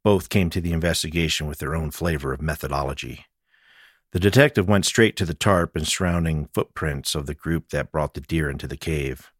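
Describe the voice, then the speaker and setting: Male author-narrator for supernatural mystery fiction, low register, dry close recording. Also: brisk, no echo. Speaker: author reading own audiobook.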